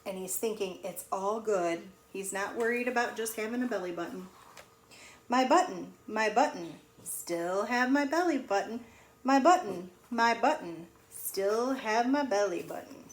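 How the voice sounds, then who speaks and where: A woman teacher reading a storybook aloud to young children in an animated, sing-song way, pausing to ask them questions. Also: medium pitch. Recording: echoey room with faint noise.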